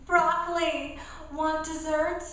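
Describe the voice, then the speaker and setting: A woman's voice: high-pitched, level tone, slow, fairly bright tone. A woman playing a proud housewife in a comic radio ad, speaking in short, clipped declarations. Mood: proud, insistent. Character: sweetly proud housewife claiming her baking. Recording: echoey, distant mic, faint noise.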